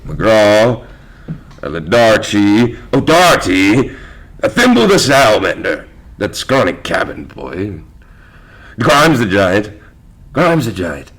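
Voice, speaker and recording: A haunted, rum-craving old pirate captain for a stage drama. He is gruff and husky, with slow, brooding speech that leaps wildly into barked commands and fearful outbursts. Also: fairly low pitch, very animated, a bit bright, exaggerated voice. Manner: barks demands, broods fearfully. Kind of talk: theatrical stage pirate acting.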